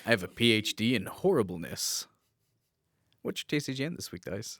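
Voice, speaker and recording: A man's voice: on the low side, very animated, normal speed, a bit bright, no echo, clean studio sound. A man co-hosting a podcast in casual comedic banter, joking with crude humour. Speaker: podcast co-host.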